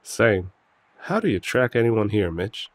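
A worried, protective shifter, played by a man in an audio drama. His voice is very low and recorded dry. He sounds earnest and serious. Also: sing-song, measured pace, no room sound. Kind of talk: audio roleplay drama acting.

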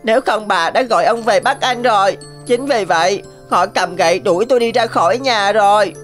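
A woman's voice: medium pitch, moderate pace, faint music behind, lightly acted. A woman voicing a small, clever cartoon rabbit with an earnest, coaxing manner. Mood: pleading. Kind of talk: animated cartoon dialogue.

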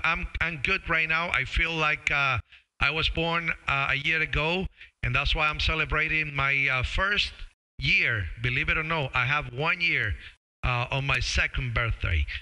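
A middle-aged man chats casually as a ham radio operator on a live stream, friendly and halting, into a close studio microphone. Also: on the high side, bright, forceful tone, clear, resonant tone, rough recording. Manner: friendly, halting, calls listeners brother. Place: small home radio room. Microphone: boom-arm studio microphone with headset.

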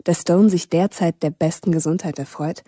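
An actress playing a sober, matter-of-fact narrator, giving formal scripted narration in a radio play. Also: female voice, fairly low pitch, steady tone, normal speed, very noisy, natural voice. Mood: detached, dry.

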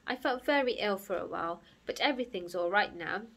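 Woman voicing a plucky small boy hero, bright-toned. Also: medium pitch, expressive, a little fast, gravelly. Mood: friendly, warm. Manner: plucky, earnest, plain-spoken. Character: small, brave, kind boy hero of a children's story.

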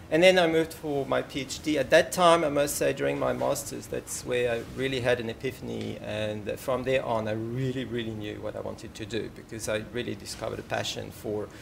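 A man in his forties with a French accent, giving a formal university lecture at a podium microphone in a large lecture hall, earnest and often pausing with ums. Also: medium pitch, vocal fry, a little hiss or hum. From France. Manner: earnest, warm asides, frequent ums. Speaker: professor giving inaugural lecture.

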